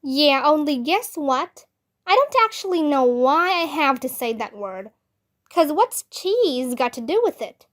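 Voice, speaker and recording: A woman's voice: sits high, big pitch swings, unhurried. A woman voicing a feisty, chatty, literal-minded little girl who over-explains in a storybook. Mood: puzzled, stubborn. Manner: indignant, over-explaining, childish.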